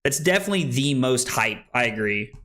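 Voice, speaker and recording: This is a young man in his late twenties who streams a card game and talks his plays through out loud. He is chatty and joking, and his pitch sits in an ordinary middle range. He keeps up a loose, casual flow of chat. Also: expressive, brisk. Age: 20s. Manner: chatty, joking, thinks aloud.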